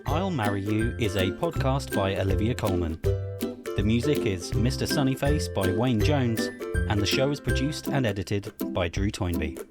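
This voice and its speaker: A man's voice: lowish voice, animated, normal speed. A man reading scripted podcast credits as an announcer. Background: prominent music.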